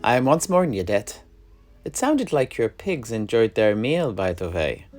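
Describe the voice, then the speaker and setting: A man's voice: medium pitch, sing-song, normal speed, darkish tone, faint music behind, lightly acted. A man playing a teasing, roguish alchemist in a fantasy audio drama, spinning tales in a colourful, theatrical way. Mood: wry storytelling. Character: roguish, teasing male alchemist and rat slayer.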